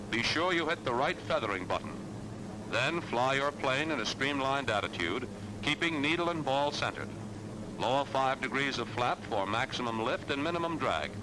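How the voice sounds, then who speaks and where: An American man narrates a 1940s military training film in a mid-pitched voice. His delivery is scripted, assured and instructional, with clipped phrasing. The old soundtrack is clearly noisy behind him.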